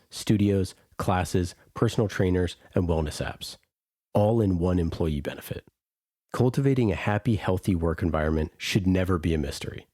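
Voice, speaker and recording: A man's voice: low-pitched, mellow, no echo, clean studio sound. A man reading a scripted podcast sponsor spot as the announcer, over guitar music.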